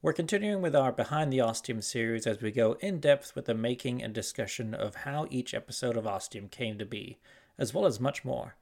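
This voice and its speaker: Gravelly man introducing a podcast. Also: mid-range voice, fairly quick, a little mellow. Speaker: podcast host and creator.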